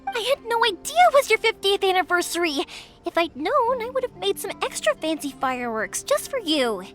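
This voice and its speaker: An actress playing a cheerful, earnest young firework-maker in a video game, eager and big-hearted. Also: female voice, very high voice, sing-song, a little fast. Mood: bright, eager. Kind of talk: English video game voice acting. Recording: game audio with background music. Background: game music.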